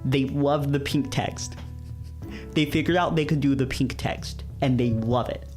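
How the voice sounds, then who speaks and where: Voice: mid-range voice, measured pace, darkish tone, soft background music. A streamer who reads visual novels aloud and chats casually, often repeating phrases when reacting. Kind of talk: livestream read-aloud with casual chat.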